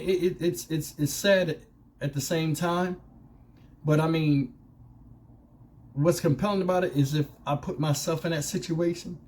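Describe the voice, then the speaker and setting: A man's voice: fairly high pitch, darkish tone. A man giving casual opinion commentary as a channel host, speaking colloquially and conversationally with rhetorical questions.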